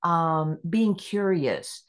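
A woman's voice: on the low side, expressive, very slow. A middle-aged woman speaking as a podcast guest, reflective and easy to laugh, voicing her own inner questions as she talks. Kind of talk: conversational interview.

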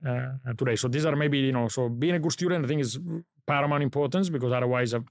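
A man's voice: medium pitch, fast, no echo, clean studio sound. Italian-accented man speaking thoughtfully. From Italy. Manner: reflective, thinks aloud while telling his story. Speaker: guest, company founder. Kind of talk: podcast interview. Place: studio.

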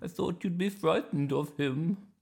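A man voicing a dotty, hungry, excitable grandmother in a bedtime story, gushing and exclaiming with little hesitations. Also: on the high side, a little slow, vocal fry, breathy edge, pitched above the performer's own voice, heavily acted. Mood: fond.

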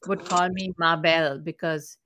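A woman with an Indian accent talks as a guest on an interview podcast. Her voice is a little low for a woman. She speaks casually, with a polite and appreciative manner. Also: steady tone, unhurried, pushed and bright, a little hiss or hum.

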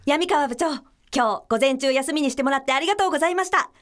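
A woman playing a blunt, sharp-tongued young office worker with deadpan retorts in a comic radio drama. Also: high-pitched, fast, lightly acted. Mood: friendly.

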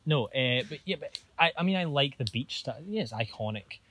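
A man's voice: mid-range voice, lively intonation, brisk, bright, forceful tone, slight background noise. A man co-hosting a podcast, chatting casually outdoors with dry, teasing banter. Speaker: podcast co-host. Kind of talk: casual chat. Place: outdoors near a railway line.

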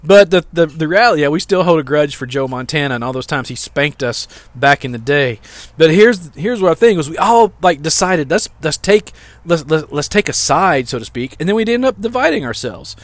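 A man's voice: a little high, expressive, brisk, no echo. Man, rambling podcast preacher. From Louisiana, American South. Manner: rambling, repeats words, self-deprecating humor. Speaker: podcast host. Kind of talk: sermon-like podcast talk. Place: outdoors.

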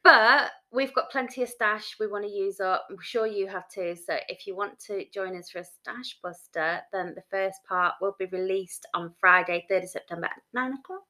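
A woman co-hosting a casual crafting podcast, chatty and excitable, often laughing. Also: mid-range voice, normal speed, hardly any pauses. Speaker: podcast co-host. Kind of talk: casual chat.

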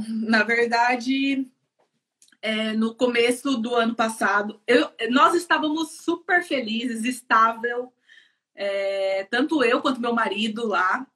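A woman's voice: fairly high pitch, a bit bright. A woman in her thirties from São Paulo state in Brazil, with a Brazilian accent, chatting as a guest on a live interview, laughing often and using many fillers. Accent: Brazilian Portuguese, São Paulo state. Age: 30s. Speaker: guest, production engineer.

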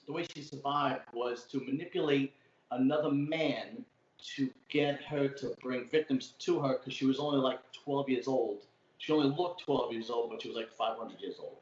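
A man's voice: mid-range voice, moderate pace. A man with a thick old-school Brooklyn accent talks casually as a podcast guest. He tells stories at length and swears freely. The sound is roomy and dull, with a faint hiss behind him. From Brooklyn, New York. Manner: talkative storyteller, swearing freely. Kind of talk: casual chat. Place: home room, remote call. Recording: echoey room, dull lo-fi sound.

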